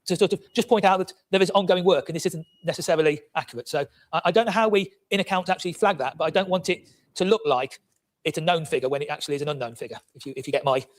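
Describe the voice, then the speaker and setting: A man's voice: a little high, very fast. A man speaking as a councillor in a debate, cautious and hedging his points.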